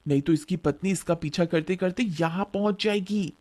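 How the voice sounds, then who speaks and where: A fretful wife from a cartoon tale, acted by a man. The voice is pitched rather high. The delivery is blunt and urgent, full of nagging protest. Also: fairly quick, a little mellow, close to an everyday voice. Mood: alarmed nagging.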